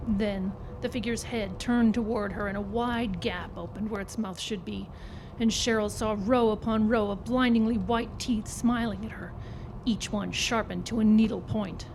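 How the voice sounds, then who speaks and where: A woman's voice: mid-range voice, steady tone, normal speed. A woman reading a horror story aloud as a dramatic narrator, recorded live in a performance club. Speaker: story reader. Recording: live club recording, clear background noise.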